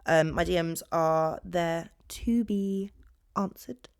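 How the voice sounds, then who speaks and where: A woman's voice: on the low side, slow, creaky, smooth, no echo. Chatty young British woman, dry close sound. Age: 20s. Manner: chatty, animated, jumps between topics. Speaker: podcast host. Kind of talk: rambling casual solo chat to viewers.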